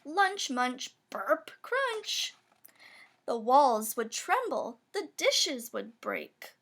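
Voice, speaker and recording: A woman's voice: high voice, sing-song, drawn-out words, fairly bright tone. A woman reading a rhyming children's picture book aloud, with playful emphasis on the sound words. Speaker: storybook reader.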